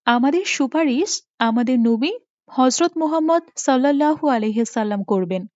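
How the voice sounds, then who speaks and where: High-voiced devout cartoon mother, played by a woman. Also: dry recording, natural voice. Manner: tender, pleading, preachy. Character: gentle, devout mother, long-suffering wife of a drunkard. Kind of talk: Islamic moral cartoon voice acting. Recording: muffled, with music behind.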